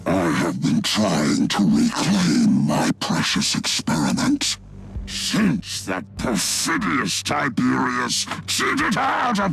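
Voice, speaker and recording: An actor playing a gloating, sadistic mad-scientist villain in a video game, with a theatrical, drawn-out menacing delivery. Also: male voice, high-pitched, gravelly, fairly bright tone, slight background noise, exaggerated voice. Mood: obsessed, raging.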